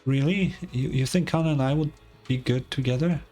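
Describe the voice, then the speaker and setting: A man's voice: mid-range voice, fairly quick, dark tone, faint music behind, natural voice. Man acting as a shy, stammering sick boy. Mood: flustered. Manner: hesitant, stammering. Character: shy, insecure sick boy, easily flustered.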